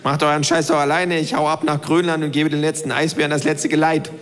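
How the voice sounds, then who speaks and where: A man voicing a cheeky, sarcastic male kangaroo who rants quickly in a storybook reading, with a long hall reverb. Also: fairly high pitch, level tone, a bit bright, natural voice. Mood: ranting. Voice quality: sarcastic, fast-talking ranter. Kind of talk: reading aloud.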